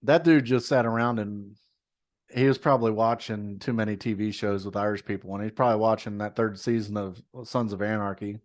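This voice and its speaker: A man's voice: on the low side, steady tone, brisk. Ranting, profane man on a podcast. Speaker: podcast co-host. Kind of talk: casual chat.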